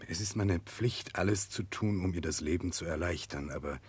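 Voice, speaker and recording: A man's voice: low-pitched, normal speed, a little mellow, slightly rough. A man playing an earnest, dutiful prince in a 1960s German radio drama, grave and hesitant in his speech. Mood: dutiful, resigned. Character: Russian prince, earnest, dutiful, burdened by conscience.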